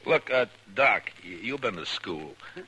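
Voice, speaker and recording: An actor playing a stern, wry frontier marshal in a 1950s radio western, gruff, clipped and commanding. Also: male voice, lowish voice, sing-song, unhurried, bright, forceful tone, heavily acted. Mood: wry, pondering. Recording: noisy old 1950s radio recording.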